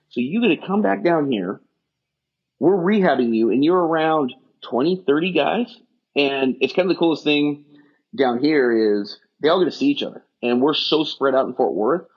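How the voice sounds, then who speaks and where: A man's voice: a little high, husky. A middle-aged man, a guest on a podcast, talking in long, rambling run-on stretches in casual conversation. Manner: rapid, rambling run-on talk. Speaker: guest, firefighter rehab specialist. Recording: dull lo-fi audio.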